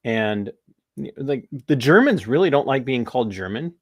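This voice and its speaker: An American man hosting a podcast, talking politics in a rambling, animated way with restarts and laughs. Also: medium pitch, moderate pace, breathy edge, no echo, studio quality. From United States. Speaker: podcast host. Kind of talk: casual political talk.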